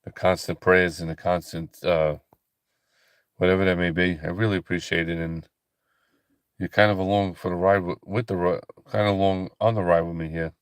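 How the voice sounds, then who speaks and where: Tired, deep-voiced man, slow and calm. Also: clean tone, no echo, clean studio sound. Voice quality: calm relaxing voice. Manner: slow, halting, soft-spoken, many fillers and restarts. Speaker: ministry livestream host. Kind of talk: casual late-night livestream chat with viewers.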